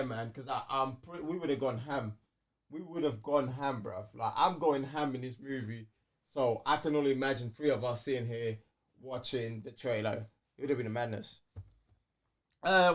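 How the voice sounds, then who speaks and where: A man with a London accent chatting casually as a podcast co-host, slangy and repeating phrases for emphasis. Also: a little high. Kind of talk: casual banter.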